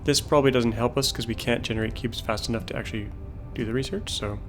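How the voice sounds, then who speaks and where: A man's voice: mid-range voice, fairly even intonation, quick pace, music in the background. Man musing aloud over a factory game. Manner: musing, thinking aloud while playing. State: losing his voice. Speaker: gameplay video host. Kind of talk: casual let's-play commentary.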